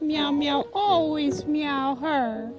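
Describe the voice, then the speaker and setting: Shy kitty puppet, high falsetto, meowing between words, slow sing-song, dull old TV sound. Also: male voice, over loud music, exaggerated voice. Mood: sweet, playful. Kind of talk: children's puppet show.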